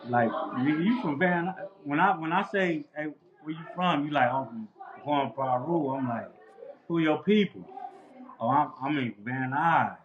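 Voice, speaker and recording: Interview guest: man, slightly high voice, laid-back street talk, dull roomy recording. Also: unhurried, background noise. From Los Angeles. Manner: laid-back, repetitive street slang with frequent "bro". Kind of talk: casual storytelling. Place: outdoors near a house.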